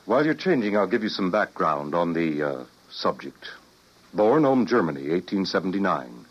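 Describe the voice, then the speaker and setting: A man's voice: sits low, very animated, moderate pace, breathy edge, heavily acted. An actor playing a cool, businesslike security man with clipped, factual delivery in an old radio drama. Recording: muffled vintage radio drama recording.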